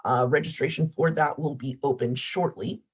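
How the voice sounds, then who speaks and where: A hoarse woman organizer announcing upcoming webinar speakers, polite and a little apologetic. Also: a bass voice, moderate pace. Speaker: event organizer.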